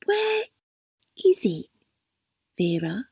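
Sly young carer, read by a woman, high and sing-song, sugary coaxing. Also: big pitch swings, a little slow, a little mellow, no echo, studio quality, heavily acted.

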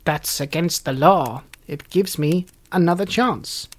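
Spiteful, cackling old crone fairy. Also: female voice, sits low, a little slow. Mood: indignant. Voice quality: harsh, creaky, mumbling. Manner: biting off each word, cackling. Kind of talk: storybook character reading.

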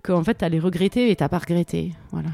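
Woman, podcast co-host, warm dark tone, lots of fillers, dry close mic. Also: on the low side, fast, vocal fry, no room sound. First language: French. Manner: enthusiastic, hesitant with many fillers. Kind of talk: casual chat about song lyrics.

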